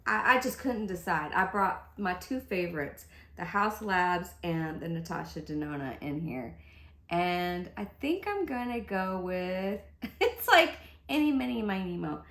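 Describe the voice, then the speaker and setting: A woman's voice: fairly low pitch, lively intonation, a little slow, fairly bright tone. A middle-aged woman with a congested voice, chatting casually through a makeup tutorial. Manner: chatty, upbeat, thinking aloud while applying makeup. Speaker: beauty vlogger. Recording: echoey room.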